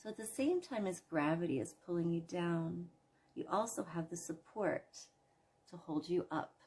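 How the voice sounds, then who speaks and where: A woman leading a guided imagery relaxation in a soothing, gentle manner, leaving long pauses between phrases. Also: fairly low pitch, lively intonation, breathy edge. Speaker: guided meditation host.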